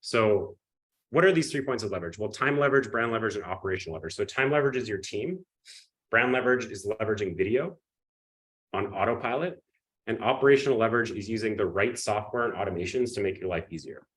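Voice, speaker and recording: A man with a cold, sniffling and coughing, giving an energetic live webinar pitch and often checking in with his audience. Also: quick pace, rough recording. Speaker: webinar presenter.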